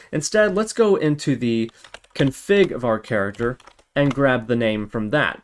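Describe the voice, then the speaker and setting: A male tutorial host with a medium-pitched voice walks through code step by step. He is methodical and thinks aloud as he goes, with keyboard typing behind him. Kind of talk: screen-recorded coding tutorial, explaining step by step.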